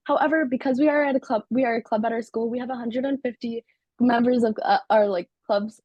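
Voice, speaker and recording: Fast-talking teenage girl, husky and dry. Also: fairly high pitch, steady tone, no echo, dull audio. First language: Turkish. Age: teens. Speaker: student company CEO. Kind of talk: interview answers.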